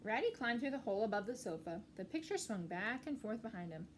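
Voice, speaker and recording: A woman narrates a storybook for young children. Her voice is fairly high and moves expressively up and down. There is faint ambient noise in the recording. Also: big pitch swings, a little fast.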